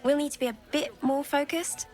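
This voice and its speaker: A woman playing a composed, probing journalist in a fantasy video game, putting pointed questions in a measured manner. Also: high-pitched, fairly even intonation, a little mellow, faint music behind, close to an everyday voice. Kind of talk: video game dialogue acting.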